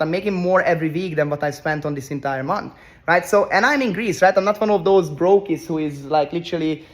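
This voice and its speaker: A Hungarian-raised man in his twenties giving a brash motivational talk to camera, tagging his sentences with "right?" and repeating himself. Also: sits high. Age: 20s. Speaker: online business coach. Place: rented villa abroad.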